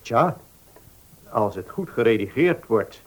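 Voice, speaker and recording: An actor playing a notary's practical, attentive grown son in a Dutch radio play. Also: male voice, medium pitch, animated, takes it slowly. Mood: concerned.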